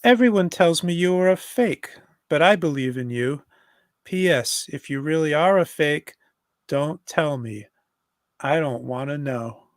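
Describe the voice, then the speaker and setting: A man voicing an earnest, gentle little cartoon boy, solemn and sincere, at a live table read. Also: a little high, animated, a little slow, dry recording, clean studio sound.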